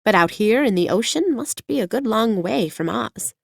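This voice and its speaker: A woman's voice: mid-range voice, expressive, moderate pace, vocal fry, no echo, studio quality, voice pushed up. A woman voicing a polite, curious little girl adrift at sea in a storybook reading. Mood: polite wonder. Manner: polite and wondering.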